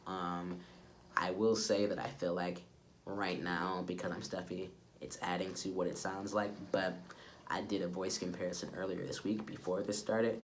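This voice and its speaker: A young man with a low-pitched voice talks casually to viewers in a personal vlog. He sounds sick and stuffed up, with a nasal congestion and a subdued energy. There is noticeable noise in the recording. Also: flat delivery, moderate pace. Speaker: vlogger. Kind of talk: casual update vlog talk to camera.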